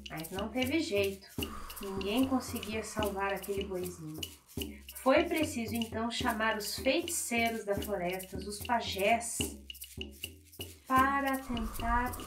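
A young woman from Brazil tells a folk tale and teaches rhythm to children, slow and emphatic on key words, seated on the floor of a bright room and recorded by a camera some distance away. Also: on the high side. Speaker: music teacher. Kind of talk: storytelling lesson for children. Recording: echoey room, noisy, mic far off.

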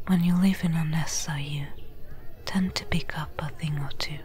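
A woman playing a friendly, seasoned desert trader in a soft, breathy, gentle whispered ASMR roleplay. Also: low voice, fairly even intonation, a little hiss or hum, lightly acted. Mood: calm, friendly. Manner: slow, gentle, unhurried.

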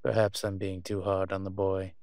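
A man's voice: low-pitched, monotone, moderate pace, a little mellow, no room sound, studio quality. A man playing a refined, centuries-old vampire in a roleplay audio drama, pompous, wry and teasing. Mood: dry, fussy. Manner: pompous, wry, posh turns of phrase.

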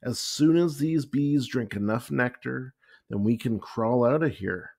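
A man's voice: medium pitch, unhurried, creaky, darkish tone. A man voicing a cheerful, unflappable woman schoolteacher in a storybook, encouraging and singsong. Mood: happy, calm.

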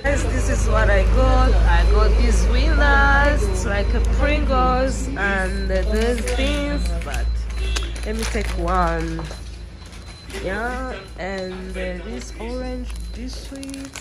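A young woman from Rwanda with a flu-hoarse voice, chatting casually to her viewers as a travel vlogger, filming herself on a phone at arm's length outdoors and in a minibus. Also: on the high side, takes it slowly, over loud music. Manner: chatty, addresses viewers as guys. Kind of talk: casual vlog narration. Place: outdoors by the road and in a moving minibus. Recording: loud vehicle and street noise.

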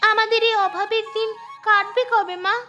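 A woman's voice: very high-pitched, steady tone, normal speed, sharp tone, dull audio, faint music behind. A woman voicing a whiny, spoiled young village girl who complains petulantly in a fairy-tale cartoon. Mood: plaintive.

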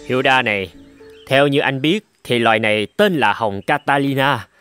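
An actor playing a smug, boastful, condescending young male rival in an animated fairy tale. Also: medium pitch, normal speed, natural voice. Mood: suave, showing off. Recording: background music under the dialogue.

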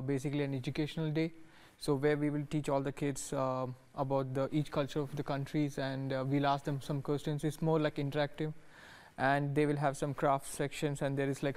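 A young man from India joins a television studio interview as a student guest. He speaks hesitantly, leaning on fillers. His voice is smooth with very little rise and fall. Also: fairly high pitch, darkish tone. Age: young adult. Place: TV news studio.

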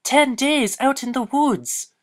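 A woman's voice: high voice, takes it slowly. A woman voicing a silly, boastful, easily exasperated male dog in an exclamatory amateur cartoon parody. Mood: dramatic.

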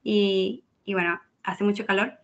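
A young woman with a gravelly, fry-tinged voice chats as an interview guest. She talks in an easy, lively way, often breaking into laughter. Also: medium pitch, unhurried, lo-fi sound. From Venezuela (Caracas). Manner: chatty, lively, laughs easily. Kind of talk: casual interview chat.

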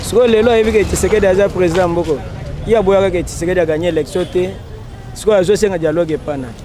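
Fast-talking young Congolese man, high voice. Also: dark tone. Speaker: street interviewee. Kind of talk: questioning, argumentative opinion. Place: busy street outdoors. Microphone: handheld mic.

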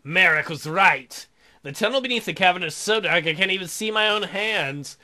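A smitten young woman diver as a man acts her out in a romance visual novel. The voice is high and bright, gushing and earnest, sometimes hesitant. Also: animated, moderate pace, heavily acted. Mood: awed.

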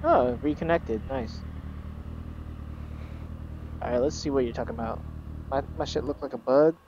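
A man vlogging from his motorcycle at night, chatting with a friend in a relaxed, joking way and trailing off mid-sentence. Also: mid-range voice, normal speed. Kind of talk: casual chat while riding. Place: outdoors on a motorcycle on city streets at night. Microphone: helmet or bike-mounted action camera. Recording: muffled helmet mic with road noise.

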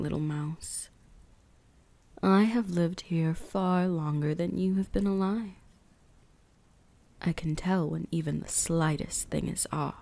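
Slow, breathy vampire huntress played by a woman. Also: lowish voice, mellow, no echo, exaggerated voice. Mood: menacing, teasing. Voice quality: breathy, often whispered. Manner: slow, teasing, menacing. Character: ancient female vampire huntress, predatory, possessive, seductive. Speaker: voice actress. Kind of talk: ASMR roleplay, close breathy whispering.

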